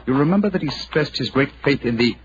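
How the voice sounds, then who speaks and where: A man playing a brisk, assured British gentleman detective in a 1940s radio drama, speaking in a precise, formal, theatrical way. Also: medium pitch, expressive, natural voice. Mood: confident, explaining. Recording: dull, noisy 1940s radio recording.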